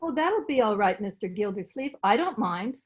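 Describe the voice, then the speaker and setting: A woman's voice: mid-range voice, big pitch swings, moderate pace, close to an everyday voice. A woman playing a determined, cheerful, folksy housekeeper in an old-time radio comedy, plain-spoken, on dull video-call audio. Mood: resolute. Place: recorded remotely over a video call.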